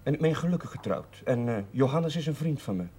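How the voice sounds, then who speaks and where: Devout young priest in a period TV drama: formal, earnest, slightly husky mid-range voice. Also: male voice, medium pitch, lively intonation, brisk, dark tone. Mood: earnest, restrained. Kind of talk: 1970s Dutch television drama acting.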